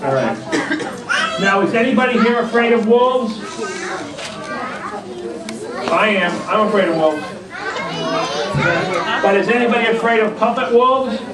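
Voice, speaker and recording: A man's voice: high-pitched, expressive, moderate pace, long pauses, a crowd in the background. A man presenting a puppet show, explaining a story to children in simple, reassuring, repetitive terms with frequent questions. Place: indoor library event room. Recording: echoey room, distant mic, loud music.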